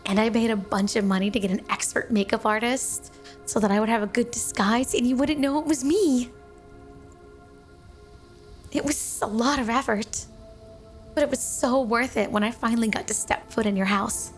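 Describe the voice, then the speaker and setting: A woman's voice: fairly high pitch, fairly even intonation, normal speed, faint music behind, close to an everyday voice. A woman playing a flirty, doting, obsessive snow woman wife in an intimate ASMR roleplay, teasing and coy. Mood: gushing, obsessive.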